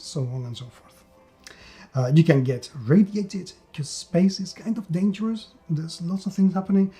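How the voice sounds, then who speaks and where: A man giving a conversational tabletop game review, emphatic, with repetition and dramatic pauses. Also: a little high, warm tone. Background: background music.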